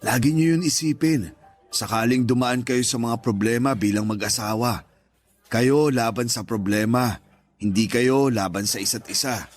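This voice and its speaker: A middle-aged stepfather played by a man speaks in a medium-pitched voice with a Filipino accent. He is devoted, tender and reassuring, like a dad giving counsel in a radio drama, with faint music behind him. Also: moderate pace, natural voice. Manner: earnest, fatherly, reassuring. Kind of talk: radio drama acting in Tagalog.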